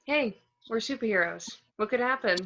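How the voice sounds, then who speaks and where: A woman playing a feisty, determined superhero wife and mother in a dramatic read of an animated film script, forceful and insistent. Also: on the high side, normal speed, lo-fi sound, exaggerated voice. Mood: reassuring.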